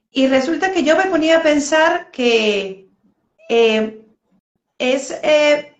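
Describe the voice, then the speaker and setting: A woman's voice: on the high side, echoey room. A woman hosting a live online interview and asking her guests questions in a chatty way. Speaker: interviewer, host.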